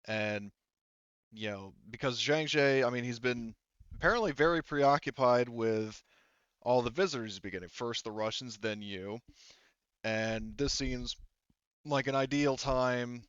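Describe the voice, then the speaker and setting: Man game master, smooth voice, muffled mic. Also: medium pitch, a bit bright, no echo. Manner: halting, conversational, paraphrasing characters' speech. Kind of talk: narrating a tabletop roleplaying game.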